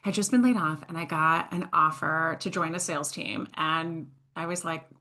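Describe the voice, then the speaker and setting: A woman's voice: low-pitched, normal speed. A woman in her thirties from Switzerland, a guest on a podcast, telling her career story casually with self-deprecating humor. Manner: animated, self-deprecating storytelling with frequent laughs. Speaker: guest, sales entrepreneur.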